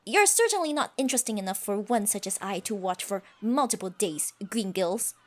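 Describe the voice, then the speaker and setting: A woman's voice: mid-range voice, lively intonation, brisk, natural voice. A woman voicing a proud, scoffing tsundere siren with stiffly formal phrasing in an ASMR roleplay. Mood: flustered, defensive. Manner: haughty, scoffing, flustered stammers, archaic formal phrasing. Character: haughty tsundere siren, proud, secretly smitten sea creature.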